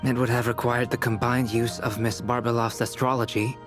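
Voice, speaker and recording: Man playing a calm young alchemist, mid-pitched, measured, analytical, game music behind. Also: lightly acted. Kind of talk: game cutscene voice acting. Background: background music.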